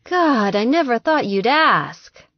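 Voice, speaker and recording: A woman's voice: on the high side, big pitch swings, takes it slowly, no echo, muffled recording, pitched above the performer's own voice, exaggerated voice. An eager, good-natured young girlfriend, acted by a woman narrator in an audiobook. She is chatty and earnest, making fun of herself, in a very dry, close sound. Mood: weary, playful. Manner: earnest, chatty, self-deprecating. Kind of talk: audiobook character dialogue.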